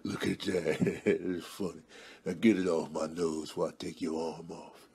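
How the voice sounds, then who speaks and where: A man voicing petty, grumpy animals with a husky voice and slangy, mock-grandiose delivery in a comedy voice-over. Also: low voice, flat delivery, moderate pace, a little mellow, full character voice. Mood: irritated. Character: petty, scheming male house cat and grumpy animals.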